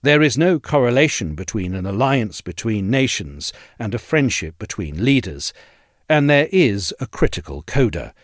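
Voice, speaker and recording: Low-voiced male essay narrator, expressively modulated. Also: very animated, dry recording, clean studio sound. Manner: measured, articulate, formal delivery. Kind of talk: scripted audio essay narration.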